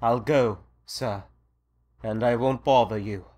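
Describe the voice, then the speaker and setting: A man's voice: mid-range voice, steady tone, slow, a little mellow, natural voice. A man playing a wary, loyal and frightened manservant in an audio drama, deferential and uneasy.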